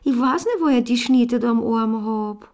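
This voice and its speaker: An actress in a radio drama playing a troubled, devoted wife and mother under questioning, with an Austrian accent and a breaking, halting delivery. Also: a little high, darkish tone, close to an everyday voice. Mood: bewildered, agitated. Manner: halting, repetitive, emotionally fragile. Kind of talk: naturalistic radio drama acting.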